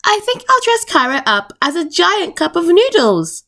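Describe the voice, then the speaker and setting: A woman's voice: very high voice, very animated, normal speed, sharp tone, voice pushed up, full character voice. A woman voicing a cheerful, affectionate and playful mother from a children's storybook. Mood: doting, playful.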